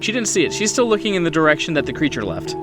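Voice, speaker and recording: A man's voice: on the high side, sing-song, rapid-fire. Male game master narrating over music. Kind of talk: narrating a tabletop horror game. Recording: music behind the voice.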